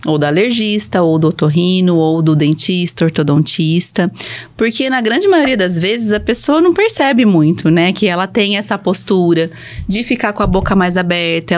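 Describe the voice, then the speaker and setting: Brazilian woman explaining things conversationally. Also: fairly low pitch. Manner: didactic, plain everyday wording. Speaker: guest speech therapist.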